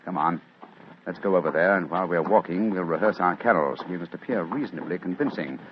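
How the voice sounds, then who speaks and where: A man's voice: low-pitched, natural voice. A man playing a commanding, shrewd British detective in precise 1940s radio acting. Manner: brisk, precise, authoritative. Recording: muffled, noisy old radio recording.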